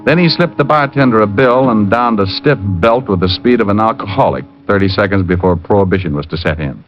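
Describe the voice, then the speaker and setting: An actor playing a wisecracking hard-boiled private detective who also narrates, in an old radio drama. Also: male voice, on the low side, fairly quick, natural voice. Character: wisecracking tough private detective, adult man. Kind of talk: 1940s-50s radio drama acting. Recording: dull old radio broadcast with music.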